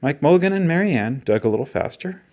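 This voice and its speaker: A man reading a children's picture book aloud in an expressive storytelling style. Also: on the high side, quick pace.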